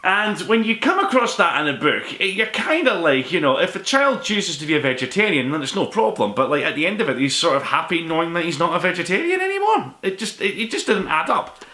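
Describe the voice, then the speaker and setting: Man, book reviewer, informal, rambling, quick pace, roomy echo. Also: on the high side, sing-song, pushed and bright. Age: 20s to 30s. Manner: rambling, self-deprecating, informal. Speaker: book review show host. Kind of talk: casual book review to camera. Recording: echoey room.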